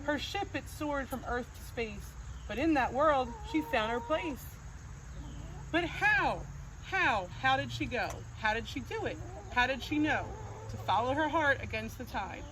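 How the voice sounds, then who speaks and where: High-voiced woman reading in sing-song rhyme. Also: expressive, a little slow. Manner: sing-song, gentle rhyming cadence. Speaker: storybook reader. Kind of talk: reading a rhyming picture book aloud. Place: outdoors on a farm. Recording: noisy outdoor recording with crickets.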